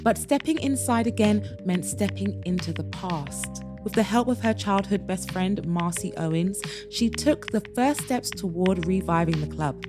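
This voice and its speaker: A woman hosting a podcast and narrating an audiobook in a dramatic, expressive way, with slow emphatic pauses. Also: mid-range voice, music in the background.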